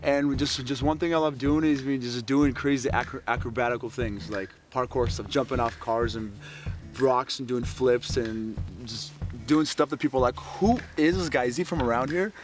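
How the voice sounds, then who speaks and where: A man's voice: medium pitch, moderate pace. A man from Ukraine, interviewed as a model, speaking earnestly in repeated short declarations. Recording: music playing under the voice.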